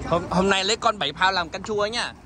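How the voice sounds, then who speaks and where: A man fishing on a boat outdoors, chatting excitedly with loud exclamations and blunt swearing. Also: high voice, fairly quick, background noise. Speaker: fishing vlogger.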